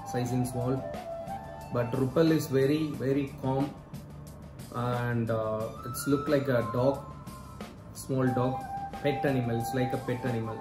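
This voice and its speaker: A man narrating a wildlife vlog in a casual, hesitant way, with frequent filler sounds and halting phrases. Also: mid-range voice. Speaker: wildlife vlogger. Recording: echoey sound with loud music behind.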